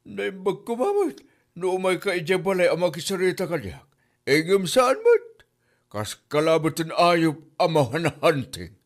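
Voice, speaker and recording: A man's voice: sits high, sing-song, moderate pace. A man playing an exasperated older moneylender, scolding with an Ilocano Filipino accent in a comedy drama. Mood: indignant. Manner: scolding, exclaiming. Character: exasperated older male moneylender demanding repayment.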